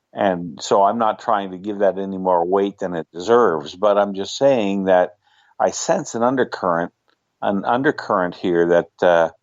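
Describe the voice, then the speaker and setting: A man co-hosting a political talk radio show, speaking in a measured way and hedging his points. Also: sits low, a little mellow, no echo, muffled recording. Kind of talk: casual political chat.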